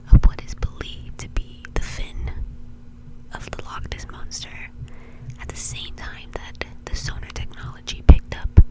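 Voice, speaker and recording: A woman doing whispered ASMR storytelling in an informal, conversational way, close to the microphone. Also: poor audio. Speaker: ASMR video creator and host.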